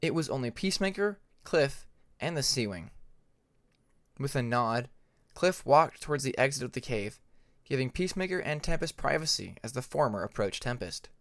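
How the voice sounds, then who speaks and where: A man reading fiction aloud as a scripted audiobook narration in a measured storytelling delivery. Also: mid-range voice, lively intonation, a bit bright, no echo, clean studio sound. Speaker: amateur fan fiction narrator.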